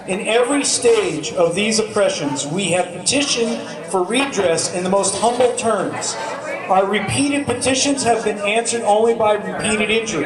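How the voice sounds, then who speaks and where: An American man reciting and speaking publicly to a gathering. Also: sits high. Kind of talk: public recitation and address to a crowd. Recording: echoey, distant mic amid crowd noise.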